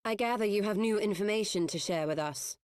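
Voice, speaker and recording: A woman's voice: medium pitch, a little mellow, natural voice. Actress as poised woman sage, reverberant. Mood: decisive, calm. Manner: measured, courteous, dry. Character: cool, poised, dry-witted woman sage. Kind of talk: fantasy video game acting.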